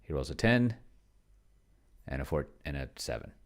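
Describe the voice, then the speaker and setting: A man casually thinking aloud as he plays a solo tabletop game, halting and muttering to himself as he types. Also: extremely low pitch, sing-song. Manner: self-described pedantic. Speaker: solo tabletop player and video host.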